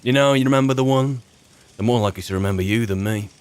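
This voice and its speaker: A man with a British accent roleplaying a weary, chatty wizard's servant turned adventurer, musing in a wry, halting, rambling way. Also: medium pitch, smooth, natural voice. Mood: chatty planning. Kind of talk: in-character game roleplay monologue.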